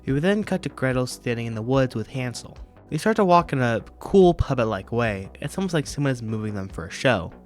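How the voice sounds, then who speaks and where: A man's voice: medium pitch, animated, a little fast, hardly any pauses, faint music behind. A man hosting a video review, narrating and commenting from a script. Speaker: YouTube host reviewing horror videos.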